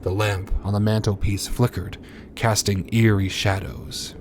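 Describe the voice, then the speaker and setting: A man's voice: fairly low pitch, flat delivery, unhurried, a little mellow, slight background noise. A man announcing and narrating a radio drama in a formal, theatrical scripted style.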